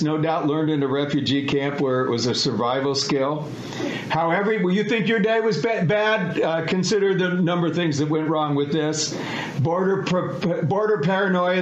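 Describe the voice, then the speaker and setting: Man, radio host, wry, higher voice, roomy dull sound, faint hiss. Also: normal speed. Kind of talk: radio interview.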